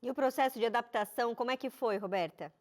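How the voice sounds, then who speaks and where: A woman hosting a faith-themed TV interview, reading a clear introduction and asking measured questions. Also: on the high side. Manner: clear, measured presenter delivery. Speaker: TV show host.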